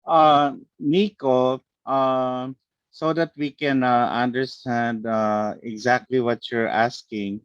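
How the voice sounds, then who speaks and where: Slow, deliberate man explaining on a call. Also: mid-range voice, fairly even intonation, clean tone, no echo. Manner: measured, deliberate, tags points with "okay". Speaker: panel expert. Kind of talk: slow explanatory answer on an online panel. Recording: dry, dull lo-fi call audio.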